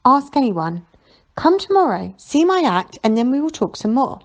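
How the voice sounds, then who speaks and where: Storybook role: gentle, enigmatic aging foreign showman played by a woman, soft-voiced, inviting, animated intonation. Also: high voice, normal speed, exaggerated voice. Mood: calm, inviting. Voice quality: soft, watery. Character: mysterious soft-spoken foreign showman, older man, gentle.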